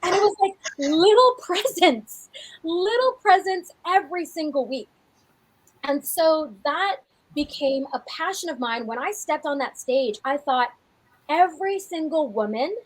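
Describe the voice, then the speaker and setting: High-voiced, chatty woman in her forties. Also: measured pace, poor audio. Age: 40s. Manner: chatty and animated, with frequent restarts. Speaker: fitness coach and podcast co-host. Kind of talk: casual podcast chat.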